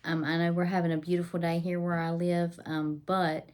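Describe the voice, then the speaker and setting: A woman's voice: on the low side, level tone, moderate pace, a little mellow. A woman vlogging about homeschooling, her voice a little scratchy and nasal from pollen, chatty with many ums and restarts. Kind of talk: casual to-camera talk.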